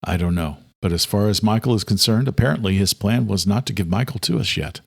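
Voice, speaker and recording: A man's voice: fairly low pitch, brisk, vocal fry, slightly rough, dry recording, studio quality, pitched below the performer's own voice. A man playing a grim, resolute brother, firm and decisive, in audiobook thriller dialogue. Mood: firm, reassuring. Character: grim, resolute brother taking charge of the hunt.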